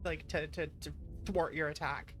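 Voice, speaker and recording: A woman's voice: low voice, fairly even intonation, moderate pace, noisy background. A woman streamer giving playful, chatty, casual commentary while playing a game. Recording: music behind the voice. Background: game music.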